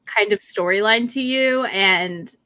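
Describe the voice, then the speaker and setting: A woman's voice: mid-range voice, unhurried, smooth, no room sound. A woman in a casual book chat on a video call, gushing and often quoting her own reactions as she goes. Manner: animated, gushing, self-quoting. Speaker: book livestream co-host. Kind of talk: casual chat about books. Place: indoor room at home, on a video call.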